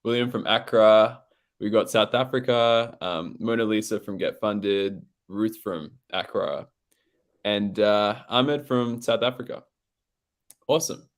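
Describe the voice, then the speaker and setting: Low-voiced Australian man, laid-back host. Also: a little slow. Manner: relaxed and upbeat, many "um"s. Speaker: host of an online founder session. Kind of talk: casual live-stream chat.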